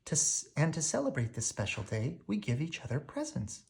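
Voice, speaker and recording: A storyteller voicing a gentle but firm mother dog in a picture book, motherly and mildly scolding. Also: medium pitch, wildly swooping pitch, a little fast, creaky. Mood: gently firm.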